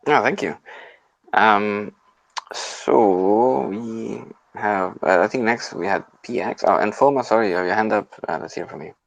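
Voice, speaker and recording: A man's voice: on the low side, unhurried, vocal fry, no echo, low-quality recording. A man hosting a casual online group discussion, politely moderating who speaks next.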